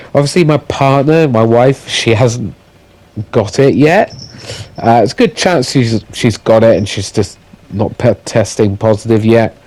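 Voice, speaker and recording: A man from the UK doing a voiceover commentary on a tank game, his voice croaky and his nose blocked from illness, talking haltingly with many fillers and restarts. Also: mid-range voice, darkish tone, no echo. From United Kingdom. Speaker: gaming commentator.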